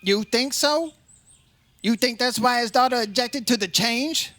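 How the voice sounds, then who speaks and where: A man's voice: very high-pitched, a little slow, pushed and bright, no room sound, exaggerated voice. A man playing a straight-talking, inquisitive insurance investigator in an old-time radio detective drama, in plain, clipped phrases. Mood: probing, casual.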